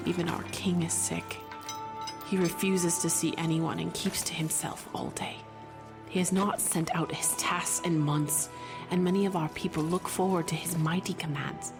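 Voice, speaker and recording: A woman's voice: on the low side, fairly even intonation, normal speed, close to an everyday voice. A woman playing a stern, proud dwarf marshal in a fantasy audio drama, with a strong, carrying, formal and scornful delivery. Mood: grave, troubled. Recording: music under the voice.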